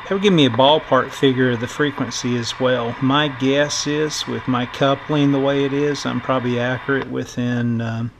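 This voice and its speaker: A middle-aged man giving a casual electronics tutorial in a halting, thinking-aloud way, as the host of a repair video. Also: mid-range voice, steady tone. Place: indoor workbench. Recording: clear background noise.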